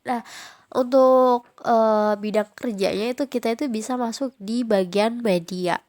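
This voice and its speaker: A woman's voice: a little high, unhurried, a little mellow. Young Jakarta woman with a stuffy cold. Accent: Jakarta slang. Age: young adult. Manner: chatty, informal, frequent fillers. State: nasal from a cold. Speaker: podcast host. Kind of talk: casual solo podcast.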